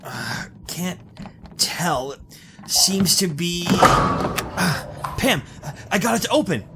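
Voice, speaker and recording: Earnest, lively radio-drama hero played by a man. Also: high-pitched, extremely slow, over loud music, full character voice. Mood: determined. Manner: hesitant, earnest. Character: anxious, protective young male hero trapped with his sweetheart. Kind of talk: 1930s-style radio thriller acting.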